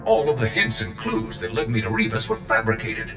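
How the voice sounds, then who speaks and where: An actor playing a loyal, zealous military droid in a video game, speaking formally, precisely and earnestly. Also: male voice, on the low side, completely flat delivery, a little fast, natural voice. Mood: matter-of-fact, reporting. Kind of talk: scripted video game acting. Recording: game cutscene with echo and music.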